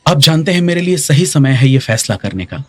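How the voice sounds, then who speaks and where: A man voicing a shrewd, measured businessman in a dubbed drama. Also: fairly high pitch, big pitch swings. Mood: measured, appraising.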